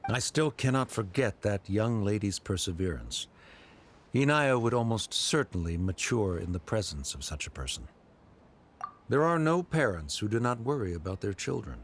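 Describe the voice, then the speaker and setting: An actor playing a proud, awkward middle-aged scholar father in a video game, speaking in a formal, measured, stiffly polite way. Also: fairly low pitch, very animated, a little hiss or hum. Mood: formal, reserved. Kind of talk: scripted video game dialogue. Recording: background music under the dialogue.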